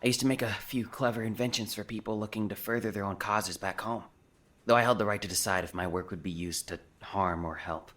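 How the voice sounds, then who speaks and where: A man voicing a clever, self-assured inventor in an audiobook, talking in a brisk, boastful, explanatory way. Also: lowish voice, steady tone, a bit bright, close to an everyday voice. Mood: proud.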